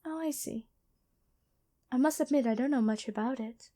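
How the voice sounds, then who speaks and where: A woman playing a kind angel medic and former nun in an ASMR roleplay, speaking gently and hesitantly to the listener. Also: high-pitched, normal speed, natural voice. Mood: friendly, curious. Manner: gentle, soft-spoken, apologetic, hesitant.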